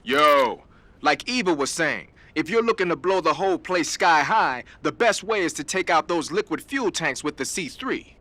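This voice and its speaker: A man's voice: fairly high pitch, lively intonation, moderate pace, bright, forceful tone, dry recording. A man playing a brash, casual weapons adviser in a video game, with a slangy, informal delivery. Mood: upbeat, instructive. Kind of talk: animated video game mission advice.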